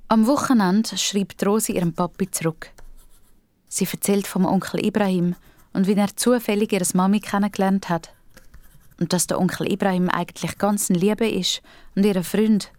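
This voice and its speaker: A woman's voice: mid-range voice, fairly even intonation, normal speed, a little mellow, dry recording. A woman with a Swiss German accent reads scripted narration for a children's audio drama.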